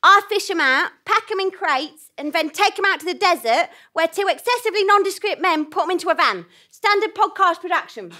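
A wild, brusque trawler captain played by a woman in a comic audio play. The voice is high and forceful, blunt and deadpan in delivery. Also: a little fast, few pauses, heavily acted. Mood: matter-of-fact. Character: wild-eyed, brusque small fishing-boat captain. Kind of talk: live comic audio drama.

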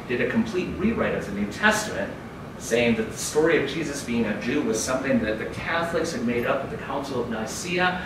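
A man giving a keynote lecture to an audience in a dark conference room, raising his pitch and volume to read quotations aloud. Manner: raises pitch and volume when reading quotes aloud. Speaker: keynote speaker. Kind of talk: lecture with quoted readings. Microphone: far camera across the room. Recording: echoey room from far off, audible noise.

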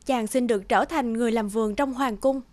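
High-voiced woman narrating a fairy tale. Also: fairly quick. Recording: music behind the voice.

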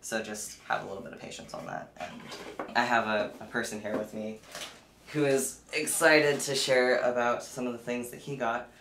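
A young adult vlogger talks through an unboxing in a casual, chatty way. The voice sits at a middling pitch. It is picked up in an echoey room with clear noise and small clicks. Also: steady tone, moderate pace, a bit bright. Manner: informal, chatty. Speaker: vlogger presenting a shopping haul. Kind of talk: casual unboxing chat to camera. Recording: roomy echo, clear background noise, clicks.

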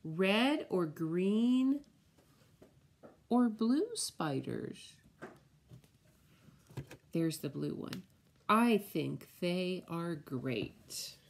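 Slow, low-pitched woman reading to preschoolers. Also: expressive, creaky, slight background noise. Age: middle-aged. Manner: slow, deliberate, drawn-out delivery with simple explanations. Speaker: preschool teacher reading story time. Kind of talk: picture-book read-aloud for small children. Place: indoors, room at home with window blinds.

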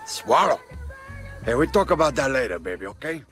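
An actor playing a cocky, foul-mouthed, flirtatious Cuban drug lord with a Cuban accent in a video game. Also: male voice, mid-range voice, very animated, moderate pace, over loud music, close to an everyday voice. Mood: brash, flirtatious. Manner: brash, swaggering. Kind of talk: video game cutscene acting.

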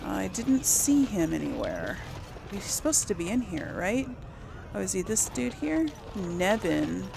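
Woman doing a casual let's play, slow chatty rambling, lots of vocal fry. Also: mid-range voice, big pitch swings, background noise. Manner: thinks aloud, hesitant, chatty. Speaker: gaming video host.

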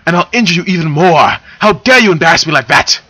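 A man voicing a young female pony, emotional and fiery, in a high put-on voice with shrill rising outbursts. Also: sing-song, a little slow, a bit bright, husky, heavily acted. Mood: indignant.

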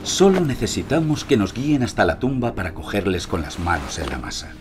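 An actor playing a composed, methodical investigator, telling and briefing in a measured, dramatic audio-drama style. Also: male voice, on the low side, big pitch swings. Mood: briefing, businesslike. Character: composed, methodical male investigator narrating his operation.

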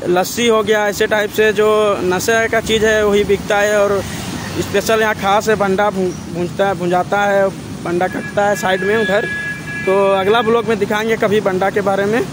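High-voiced man vlogging over street noise. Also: level tone, moderate pace. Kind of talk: casual vlog narration. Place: outdoors at roadside market. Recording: noisy outdoor street with traffic.

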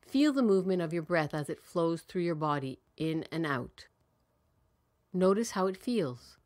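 A woman with a low-pitched voice narrates a guided meditation. She speaks calmly and soothingly, in measured phrases, and leaves long pauses for the listener.

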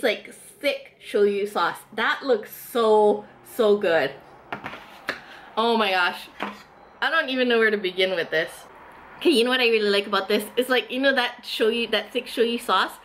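Chatty woman reviewing food, higher voice. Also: a bit bright, a little hiss or hum. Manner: chatty and enthusiastic, many "like" fillers. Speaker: food vlogger. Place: small indoor room, eating at a table.

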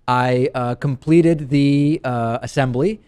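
A man's voice: mid-range voice, slow, mellow, clean tone, dry recording. A man in his thirties hosting a talk show in a studio, chatting enthusiastically about a gadget and teasing his co-host. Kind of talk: casual product review chat. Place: TV studio set. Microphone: studio mic on a stand.

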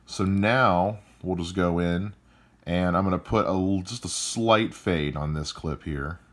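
A man with a loud voice calmly walking through how he edits a podcast episode, step by step. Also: low voice, a little slow. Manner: calm step-by-step explaining. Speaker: podcast editor. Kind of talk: explaining a screen-recorded editing walkthrough.

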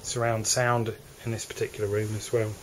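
A man's voice: fairly low pitch, fairly quick, background noise. English man touring a yacht's cabins. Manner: relaxed, hesitant, with fillers and restarts. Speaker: yacht broker. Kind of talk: walkthrough tour narration. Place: cabins below deck on a moored motor yacht. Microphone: handheld camera mic.

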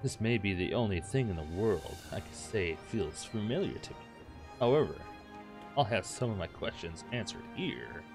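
A man with a fairly low voice reads a visual novel aloud as a gaming host. He is irreverent and crude, breaking off for joking asides, with clearly audible music playing behind him. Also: sing-song, normal speed. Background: game music.

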